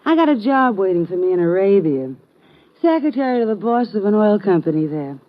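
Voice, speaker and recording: A woman's voice: medium pitch, very animated, fairly quick, lo-fi sound, lightly acted. Woman acting a breezy flirt with lilting delivery. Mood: breezy, flirtatious. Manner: slangy, wisecracking, coy. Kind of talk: 1950s radio drama acting. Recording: thin, band-limited old radio recording.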